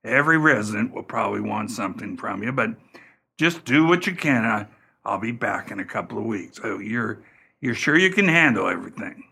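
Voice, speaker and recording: An actor playing a fussy, anxious caretaker in a radio play, halting and repeating his words as he talks. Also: fairly low pitch, expressive, gravelly, bright, forceful tone, slightly rough, full character voice. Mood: nervous, fussy.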